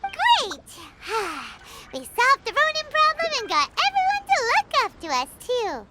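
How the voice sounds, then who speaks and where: A woman voicing a tiny, childlike, eager fairy companion in a video game, squeaky and perky. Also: huge pitch swings, unhurried, heavy background noise, exaggerated voice. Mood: chirpy, relieved. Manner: perky, refers to self in third person. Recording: music behind the voice.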